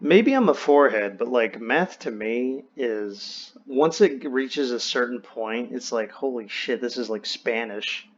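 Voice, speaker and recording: A man chatting and telling stories casually, with animated reactions, swearing and restarts, over online voice chat. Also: medium pitch, unhurried, few pauses. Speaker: host. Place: online voice chat in a VR social game. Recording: dull lo-fi voice-chat audio.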